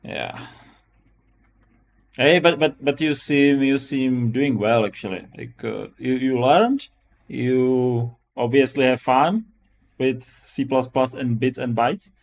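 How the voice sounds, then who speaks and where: A man's voice: medium pitch, takes it slowly, vocal fry. A man with a Czech accent chatting casually as a live-stream host, often restarting and repeating his phrases. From Czech Republic.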